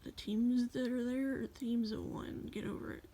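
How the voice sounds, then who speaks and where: A woman casually reading her journal aloud as a voice-over, in a rambling, halting way. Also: on the high side, fairly even intonation, mellow. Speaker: journal flip-through video creator.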